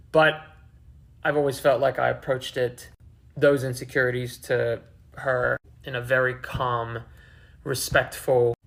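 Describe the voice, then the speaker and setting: A man's voice: medium pitch, vocal fry, a bit bright. A man in his twenties talking candidly and with some swearing in a personal confessional vlog. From several countries including the Philippines, Australia and the UK. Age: 20s. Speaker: online video creator.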